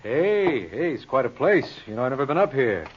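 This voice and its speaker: Actor as a wry radio sleuth, muffled old recording. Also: male voice, medium pitch, very animated, moderate pace, slight background noise, lightly acted. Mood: wry, probing. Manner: dry, sardonic, wisecracking. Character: wisecracking, cool private lawyer-sleuth, adult man. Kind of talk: 1950s radio crime drama acting and narration.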